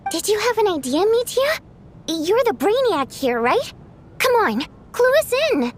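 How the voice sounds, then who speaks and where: Very high-pitched, earnest young girl. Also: big pitch swings, measured pace, a little hiss or hum, full character voice. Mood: curious. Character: young girl theatre worker, earnest, outspoken, easily spooked. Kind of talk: video game voice acting. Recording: background music.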